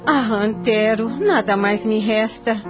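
A woman's voice: on the high side, slow. A grief-stricken young mother speaks in a formal, melodramatic radio drama style. Her words are drawn out with frail, devout sorrow over background music. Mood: sorrowful, weary. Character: young grieving, ailing mother, devout and despairing. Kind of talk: melodramatic radio soap opera acting.

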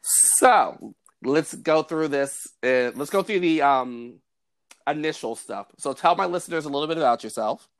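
A man hosting a podcast, explaining ideas in a measured way and chatting with his guest. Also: on the high side, expressive. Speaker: podcast host. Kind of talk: podcast conversation.

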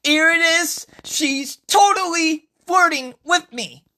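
A woman voicing an excitable, naive, lovestruck young man in a story, exclaiming in an over-eager way. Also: very high-pitched, slow, voice pushed up, full character voice. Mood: giddy, exclaiming.

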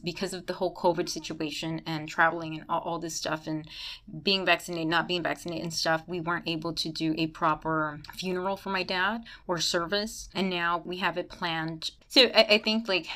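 A woman's voice: lowish voice, a bit bright. A woman hosting a podcast, talking candidly in a casual chat with a congested, stuffy nose, dropping Spanish phrases. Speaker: podcast host. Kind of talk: casual podcast intro monologue.

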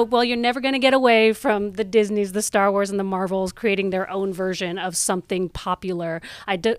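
A woman's voice: mid-range voice, dry recording. A woman co-hosting a tabletop gaming news show, giving casual, informal commentary in a conversational way. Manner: informal, peppered with "like".

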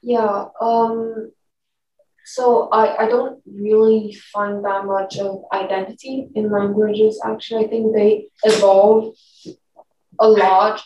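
A woman's voice: medium pitch, little pitch movement, slow pace, mellow, dull audio. A young woman from Shanghai, a native Mandarin speaker speaking English as a second language, talking in a thoughtful, hesitant way with frequent restarts in a casual group discussion. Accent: Shanghainese-accented Mandarin speaker; English with mixed US and British sounds. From Shanghai, China. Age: young adult. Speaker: discussion participant. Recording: echoey room, distant mic, faint noise.

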